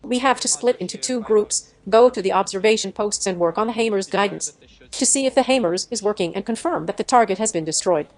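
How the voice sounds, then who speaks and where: A computer-generated woman's voice dubs the whole video in a mid-range pitch. Her phrasing is stiff and even, with the slightly odd word choices of a machine translation. The voice-over is clean and close. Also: brisk. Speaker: AI dub voice for every speaker.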